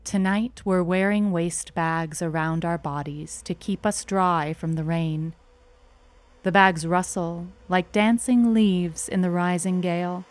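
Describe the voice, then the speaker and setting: A woman narrating a short story in an intimate first person, with a measured, tender, dramatic delivery. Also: on the low side, steady tone.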